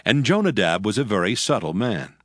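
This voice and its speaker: A man's voice: lowish voice, sing-song, fairly quick, fairly bright tone, no room sound, studio quality. A man narrating scripture in a formal storytelling style, reading from a script. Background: background music.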